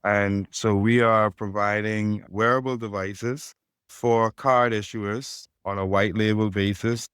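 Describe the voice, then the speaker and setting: A man with a Caribbean accent, a fintech co-founder speaking as a podcast guest in a steady, businesslike way. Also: slow pace, clean tone, no room sound, studio quality. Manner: steady, businesslike pitch with stacked phrases. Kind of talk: podcast interview.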